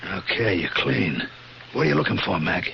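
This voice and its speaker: A street-tough American crook, low and gravelly, gruff and full of menace. He talks in hard slang, suspicious of everyone, on a muffled old radio drama recording. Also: big pitch swings, normal speed, exaggerated voice. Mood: hard, wary.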